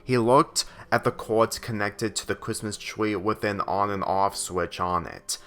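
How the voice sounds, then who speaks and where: A man reading a story aloud as a narrator, with a halting delivery that sometimes stumbles and repeats phrases. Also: lowish voice, a bit bright. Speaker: fan fiction reader. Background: background music.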